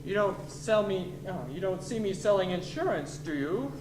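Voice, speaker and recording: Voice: medium pitch, expressive, normal speed, close to an everyday voice. An actor playing a grumpy, sardonic wereman on the witness stand, dry and impatient, in a radio comedy. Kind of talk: old time radio comedy acting. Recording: echoey room with clear noise.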